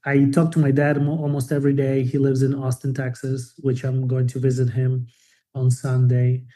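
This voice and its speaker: Relaxed man in his forties, mellow voice. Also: mid-range voice, moderate pace. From Cuba and Ukraine. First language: Ukrainian. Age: 40s. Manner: thoughtful, restarts sentences, laughs easily. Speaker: podcast guest, photographer. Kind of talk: relaxed interview conversation.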